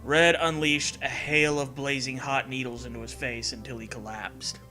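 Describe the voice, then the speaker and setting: A man's voice: medium pitch, steady tone, normal speed, pushed and bright, faint music behind. A man reading a scary story as a narrator, with dramatic, earnest delivery. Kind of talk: dramatic scripted narration of a creepypasta.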